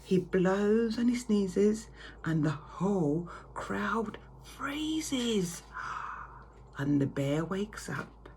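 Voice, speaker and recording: A woman reading a picture story aloud to children with theatrical, drawn-out emphasis. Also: mid-range voice, lively intonation, darkish tone. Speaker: primary school reader. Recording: clear background noise.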